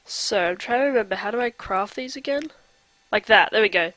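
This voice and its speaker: Young guy with a stuffy nose rambling over his game, kinda high voice, dry close mic. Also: a little fast, pushed and bright, no room sound. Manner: chatty, rambling with fillers. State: nasal from a cold. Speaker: let's play host. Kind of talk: casual gameplay commentary.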